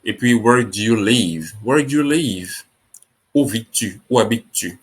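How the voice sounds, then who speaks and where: Slow, low-voiced male English teacher. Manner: slow, repeats each phrase in French and English. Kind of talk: French-language English lesson.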